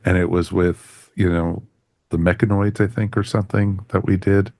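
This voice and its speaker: A man's voice: sits low, flat delivery, normal speed, darkish tone, no echo, studio quality. A man hosting a podcast, giving a casual review in a rambling way that often circles back on phrases. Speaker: podcast host.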